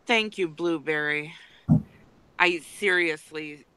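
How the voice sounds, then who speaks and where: A man's voice: fairly high pitch, slow pace, bright, forceful tone, smooth. A man chatting on a live-stream panel, upbeat and a bit self-conscious about how he sounds. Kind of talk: casual live-stream chat.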